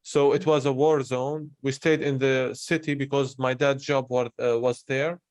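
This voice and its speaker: A young adult man talks openly and personally. His voice sits at an average pitch, and the sound is dry with very little room in it. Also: fairly even intonation, moderate pace, a little mellow, dry recording. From Syria. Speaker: interviewer in a conversation. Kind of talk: candid personal conversation.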